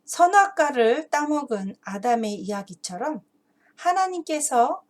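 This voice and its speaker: A woman narrating a children's Bible story in a deliberate, expressive storybook style, like a teacher reading to kids. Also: high voice.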